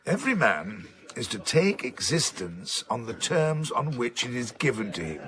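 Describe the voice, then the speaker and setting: A pompous, forceful older wit. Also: male voice, medium pitch, very animated, moderate pace. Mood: sardonic, pontificating. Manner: pompous, emphatic, aphoristic. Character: witty, opinionated, gruff older man of letters. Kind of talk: period radio drama acting.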